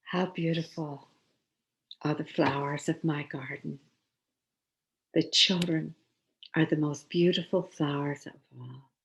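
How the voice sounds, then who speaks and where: A woman storyteller reading a children's tale expressively, with deliberate dramatic pauses. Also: low voice, fairly even intonation, gravelly, a bit bright, dull audio.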